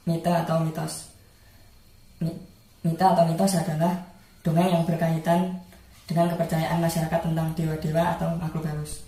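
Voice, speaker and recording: A young man, a student presenter, explaining material in halting short phrases. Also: fairly high pitch, mellow. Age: young adult. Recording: very echoey room, faint music.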